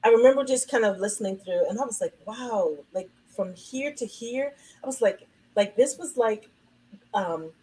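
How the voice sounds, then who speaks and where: A woman hosting a casual interview, enthusiastic and often pausing with ums while she searches for words. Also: fairly high pitch, expressive, dark tone, slightly rough, rough recording. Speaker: interview host.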